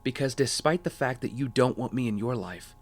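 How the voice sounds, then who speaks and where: A man's voice: medium pitch, fairly quick, lightly acted. An actor playing an earnest, apologetic wealthy young man in an audio drama, speaking sincerely and politely.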